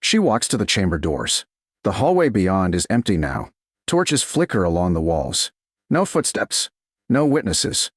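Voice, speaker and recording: Low-voiced man narrating with dramatic swings. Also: wildly swooping pitch, measured pace, breathy edge, no echo, clean studio sound. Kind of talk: dramatic scripted romance audiobook narration.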